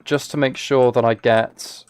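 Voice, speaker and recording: A man's voice: mid-range voice, fairly even intonation, a little slow, clear, resonant tone. A man chatting casually on a live game stream, thinking aloud as he plays and talking to his viewers.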